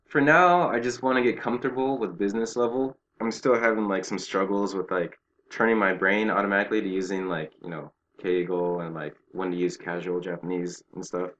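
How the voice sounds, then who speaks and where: A young man chatting casually as an interview guest, peppering his talk with "like" and quick laughing asides. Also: low-pitched, fairly even intonation, fairly bright tone, highs cut off. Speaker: guest, Japanese learner working in Japan. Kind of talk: casual conversation.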